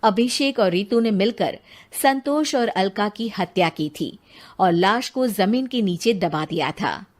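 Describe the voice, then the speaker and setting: Woman reading out a story, scripted narrator voice, medium pitch. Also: lively intonation, unhurried.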